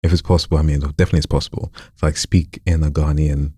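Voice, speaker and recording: A man's voice: a bass voice, little pitch movement, fast, dark tone, husky, no room sound. A man hosting a casual studio interview, asking curious, rambling questions. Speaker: podcast host.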